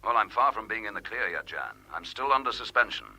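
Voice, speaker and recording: An actor playing a decisive, protective British police inspector under suspension, speaking briskly and with command in a radio drama. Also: male voice, on the low side, animated, pushed and bright, husky, exaggerated voice, rough recording. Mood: urgent.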